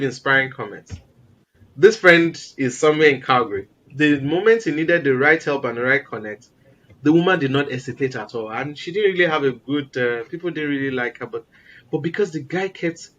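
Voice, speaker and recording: A man from Nigeria with a naturally loud voice, talking as an interview guest in an animated, emphatic way. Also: on the high side. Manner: animated, emphatic, repeats words for stress. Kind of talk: casual interview conversation.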